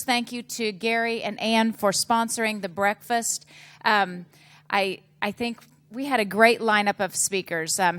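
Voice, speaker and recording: A woman's voice: medium pitch, fairly bright tone. A woman hosting a community breakfast, making upbeat announcements with frequent ums. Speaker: event host. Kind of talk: event announcements. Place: community breakfast meeting room.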